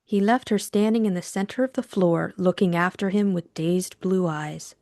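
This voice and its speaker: A woman's voice: lowish voice, moderate pace, darkish tone, dry recording, clean studio sound. A woman reading scripted audiobook narration as the storyteller.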